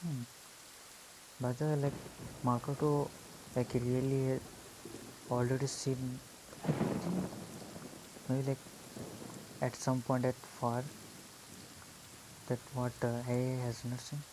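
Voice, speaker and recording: A gamer giving casual, halting, musing gameplay commentary in a murky, muffled voice through an earphone mic. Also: medium pitch, fairly even intonation, background noise. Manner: halting, musing, many hums. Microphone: phone earphone mic.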